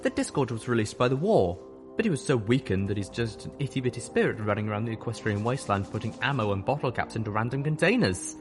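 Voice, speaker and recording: Brief: male narrator as bubbly young pony mare, high and squeaky, teasing and random, music under. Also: very animated, fast, lightly acted. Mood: giddy. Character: giddy, random young female pony cultist.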